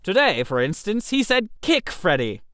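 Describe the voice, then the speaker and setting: A man voicing a bossy, brisk playwright in an audio story, clipped, theatrical and decisive. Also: high voice, big pitch swings, fairly bright tone, dry recording, clean studio sound. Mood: businesslike, exasperated. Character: bossy, brisk male playwright staging a scheme.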